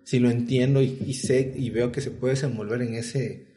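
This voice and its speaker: Mellow-voiced man explaining hiring. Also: medium pitch, normal speed. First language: Spanish. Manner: relaxed, explains with examples, many fillers. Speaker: tech interviewer on a panel. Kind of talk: casual panel chat.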